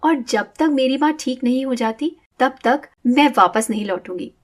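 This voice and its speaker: A woman's voice: sits high, expressive, moderate pace. A woman playing an upset, caring younger sister in a Hindi moral story, speaking earnestly and emphatically. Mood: indignant, hurt.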